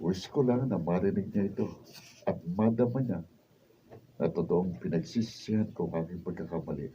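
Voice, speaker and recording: A man's voice: low voice, moderate pace, dull audio, slight background noise, lightly acted. A man playing a regretful young suitor who begs forgiveness, with an imploring, melodramatic Filipino radio drama delivery. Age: young adult.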